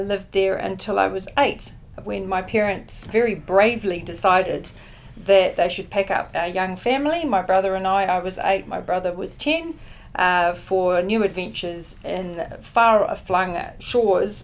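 A woman's voice: mid-range voice, measured pace, a little hiss or hum. A middle-aged woman with a New Zealand accent, originally from the Netherlands and a native Dutch speaker, giving conversational answers as an interview guest. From born in the Netherlands, grew up in New Zealand. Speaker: podcast guest, company chief executive.

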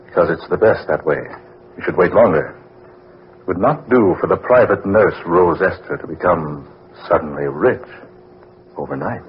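Low-voiced calculating male lawyer played by an actor, smooth schemer, vintage radio drama, muffled, faintly noisy. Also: normal speed, lightly acted. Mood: cold, conspiring. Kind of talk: old-time radio drama acting.

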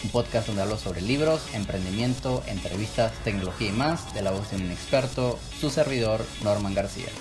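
A man from Venezuela with a Venezuelan accent, hosting his own podcast in a measured solo monologue, slowing down when he poses questions to listeners. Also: fairly low pitch, music in the background. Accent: Venezuelan Spanish. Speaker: podcast host.

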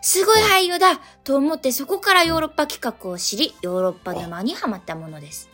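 A woman's voice: high-pitched, animated, quick pace, pushed and bright. A woman co-hosting a radio show, politely reading listener letters aloud and chatting. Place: radio studio. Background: music playing behind the voice.

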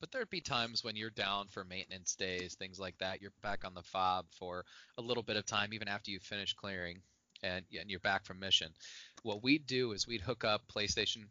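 Bright-voiced man, lo-fi audio. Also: on the low side, a little fast, no room sound. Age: 30s. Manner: easygoing, laughs often. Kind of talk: casual chat. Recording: dull lo-fi audio with faint noise.

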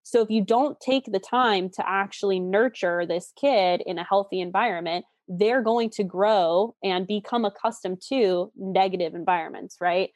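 A woman's voice: medium pitch, moderate pace, hardly any pauses, a little mellow, no echo. Young woman explaining things with candid enthusiasm, dry sound. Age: late 20s. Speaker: guest expert explaining relationship psychology. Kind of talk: animated conversational explanation.

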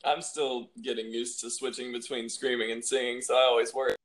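A man's voice: medium pitch, flat delivery, a little fast, a bit bright, poor audio. A man in a band answering in a remote interview, hesitant and laughing as he talks. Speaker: band member.

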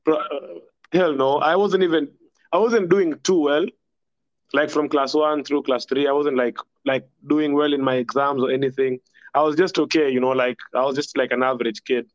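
A man's voice: on the high side, steady tone, normal speed, dry recording. Laid-back young Kenyan man, muffled. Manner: rambling, laughs often, mixes in Swahili. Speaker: podcast guest. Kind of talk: casual storytelling chat.

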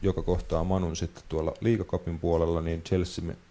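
A man with a low, mellow voice hosts a casual football chat. He talks through upcoming matches in a conversational, unscripted way, with a relaxed tone. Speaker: football podcast host. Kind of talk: casual sports discussion, previewing matches.

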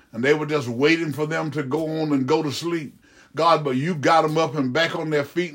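A man's voice: fairly high pitch, fairly bright tone. A man preaching in fervent, improvised Pentecostal prayer, pleading repetitively and calling out to God mid-phrase.

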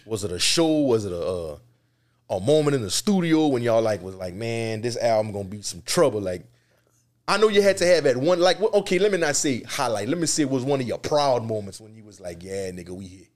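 Animated male interviewer, slangy. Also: medium pitch, fairly quick. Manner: animated, slangy, frequent affirmations. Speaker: interviewer, host. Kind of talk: casual interview chat.

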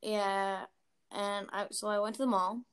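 A woman's voice: medium pitch, steady tone, a little slow. A young woman hosting a casual chat podcast, talking chattily with lots of fillers and giggles. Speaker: podcast host.

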